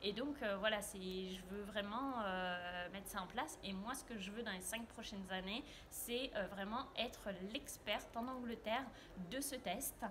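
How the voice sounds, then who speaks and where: A woman's voice: mid-range voice, a little slow, hardly any pauses, noisy background. A French woman being interviewed about her work as a private French tutor, speaking earnestly with frequent hesitations and filler sounds. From France. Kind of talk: casual interview answers.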